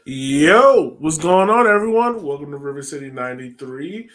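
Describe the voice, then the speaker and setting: A man's voice: on the high side, expressive, normal speed, over loud music. An American man hosting a sports podcast, chatting casually on a headset over a video call. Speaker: podcast host. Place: small home room, indoors.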